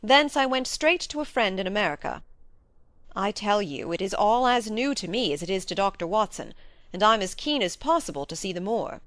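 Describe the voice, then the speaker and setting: A woman's voice: mid-range voice, animated, moderate pace, a bit bright, no room sound, natural voice. A woman voicing an eager, forthright young male baronet in a storybook, with a plain-spoken manner.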